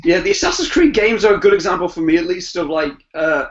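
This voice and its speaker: A man's voice: high voice, very animated, fast, creaky. A man chatting casually as a podcast guest, rambling and often repeating phrases as he feels his way through a thought. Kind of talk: casual chat. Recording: dull, muffled lo-fi podcast audio.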